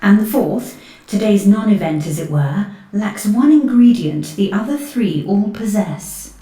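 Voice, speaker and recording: A mellow female voice of medium pitch for a calm, intuitive investigator acted by a woman. She speaks in a composed, measured, polite way, in audio drama style. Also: poor audio. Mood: reasoning. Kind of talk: fan-made audio drama acting.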